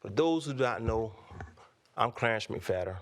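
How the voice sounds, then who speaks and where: A man in his fifties speaks at a candidate forum, steady and plainspoken. His voice sits on the low side. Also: moderate pace, slight background noise. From North Carolina, USA. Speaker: candidate for county commissioner.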